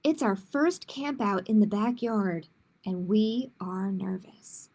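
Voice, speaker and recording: A woman's voice: medium pitch, slow, darkish tone, voice pushed down. A woman voicing a small, nervous young storybook dinosaur who tries to be brave, in an earnest, dramatic way.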